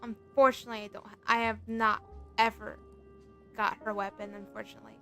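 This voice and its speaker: A woman's voice: fairly high pitch, level tone, a little fast, creaky, fairly bright tone, soft background music. A woman game livestreamer chatting casually in commentary over her stream.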